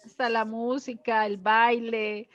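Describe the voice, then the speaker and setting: A woman's voice: on the high side, little pitch movement, slow, a bit bright. A woman with a Colombian accent hosting a casual chat, effusive and given to telling anecdotes, on slightly muffled audio. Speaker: host of an online cultural talk.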